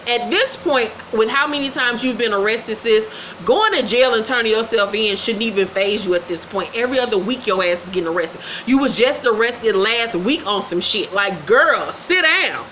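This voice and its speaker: A woman's voice: fairly high pitch, lively intonation, moderate pace, fairly bright tone, slight background noise, poor audio. A woman hosting a casual gossip recap, blunt, sassy and profane. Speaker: host.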